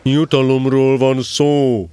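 Guy doing a pompous owl in an audio drama, mid-pitched, long-winded n self-important, hoots between phrases. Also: level tone, warm tone, clean tone, natural voice. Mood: indignant, insistent. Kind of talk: audio drama character acting.

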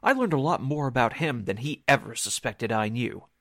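A man's voice: mid-range voice, expressive, a bit bright, no room sound. A man playing a catty, knowing insider in an old radio mystery. He is arch and sarcastic, with swooping intonation, recorded close and dry. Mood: sly, insinuating. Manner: arch, sarcastic, drawn-out insinuation. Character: smug, catty male columnist's assistant, a knowing insider. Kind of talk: 1940s radio drama acting.

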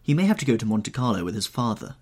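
A man voicing a tired, subdued young gentleman in an audiobook. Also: lowish voice, big pitch swings, quick pace, pitched above the performer's own voice. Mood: weary. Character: young weary handsome gentleman.

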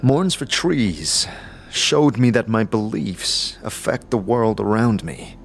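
A man playing an earnest, inquisitive wanderer in a fantasy audio drama, speaking in a measured, thoughtful, formal way. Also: lowish voice. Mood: earnest, reflective. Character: earnest, inquisitive male wanderer seeking to learn magic.

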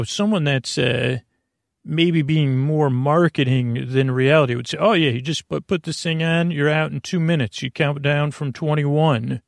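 A man with a creaky voice hosting a sleep podcast, rambling through a bedtime monologue in a halting, gently goofy way, often repeating himself. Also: a little high, no echo, clean studio sound. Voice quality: creaky, gravelly voice. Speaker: podcast host.

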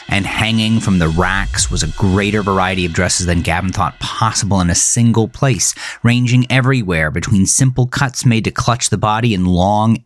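A man narrating a scripted horror thriller in a measured, deliberate, brooding storytelling manner. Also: deep voice. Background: music.

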